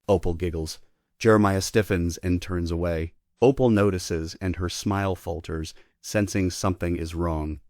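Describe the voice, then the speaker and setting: A man's voice: deep voice, steady tone, moderate pace, darkish tone, no echo, clean studio sound. A man giving scripted narration, reading out the stage directions of a play.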